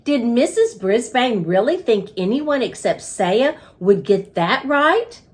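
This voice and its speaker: A woman voicing a small, eager, self-important male hamster in an expressive, exclamatory storybook telling. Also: fairly high pitch, slow pace. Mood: earnest, indignant.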